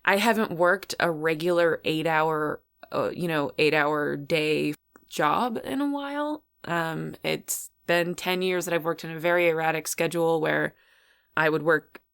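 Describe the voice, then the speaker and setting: A woman's voice: low voice, measured pace, vocal fry, fairly bright tone, clear, resonant tone. A woman hosting a solo podcast, talking casually in a rambling, chatty way about what she watches. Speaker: podcast host.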